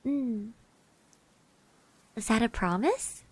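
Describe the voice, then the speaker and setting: A woman with a fairly high, soft voice plays a sweet, shy classmate in an ASMR roleplay. She speaks very slowly and gently, hesitating and often sinking into a breathy whisper. The sound is close and dry. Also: lively intonation, dry recording. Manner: hesitant, gently reassuring, speaks of herself in third person. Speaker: ASMR roleplay performer, streamer. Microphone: close microphone.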